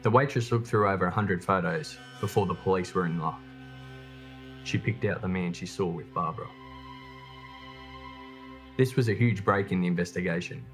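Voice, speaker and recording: A man's voice: low voice, normal speed, long pauses, fairly bright tone, soft background music. A man reading a scripted true crime documentary narration as its host.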